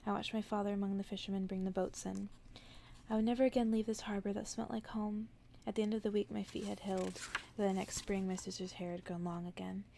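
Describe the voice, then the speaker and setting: Soft, creaky-voiced woman reading ASMR. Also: medium pitch, flat delivery, fast, a little hiss or hum. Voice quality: soft, partly whispered. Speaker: ASMR narrator. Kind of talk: ASMR bedtime story reading.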